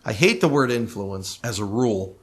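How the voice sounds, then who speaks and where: A man's voice: fairly low pitch, animated, moderate pace. A man co-hosting a podcast in casual conversation, giving emphatic, opinionated advice. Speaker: podcast co-host, recording engineer.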